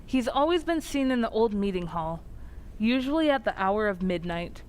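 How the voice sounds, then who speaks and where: A woman's voice: mid-range voice, lively intonation, normal speed, a little mellow, close to an everyday voice. A woman playing an earnest, plain-spoken village sheriff in an old-time radio drama, with a grave, matter-of-fact manner. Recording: faint background noise.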